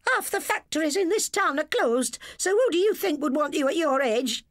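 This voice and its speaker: An English woman voicing a sharp, bitter stepmother in a storybook, nagging and indignant. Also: high voice, animated, moderate pace, no room sound, clean studio sound, voice pushed up. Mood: angry nagging.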